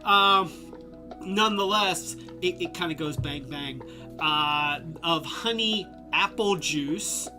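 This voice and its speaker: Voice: mid-range voice, slow, music in the background. A live-stream host reviewing toys in a casual, rambling way, congested and losing their voice, a bit tipsy from drinks. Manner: rambling, many fillers and restarts.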